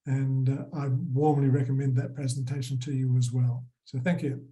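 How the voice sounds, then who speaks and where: Australian man presenting, dark mellow tone. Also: mid-range voice, little pitch movement, moderate pace. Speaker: conference presenter. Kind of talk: formal presentation talk.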